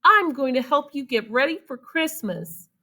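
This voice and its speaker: A woman's voice: high-pitched, expressive, unhurried, pushed and bright, voice pushed up, full character voice. A woman voicing an earnest, self-satisfied young boy cat in a children's storybook, with an exclamatory way of talking. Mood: eager, earnest.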